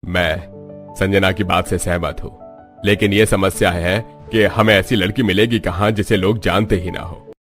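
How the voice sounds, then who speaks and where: A man voicing a rich, status-conscious patriarch with a measured, authoritative manner. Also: low-pitched, steady tone, a bit bright, soft background music. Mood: concerned.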